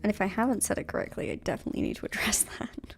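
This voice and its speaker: A British woman chatting casually on a livestream, laughing often and filling pauses with ums. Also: mid-range voice, in a whisper, faint music behind. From United Kingdom. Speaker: streamer co-host.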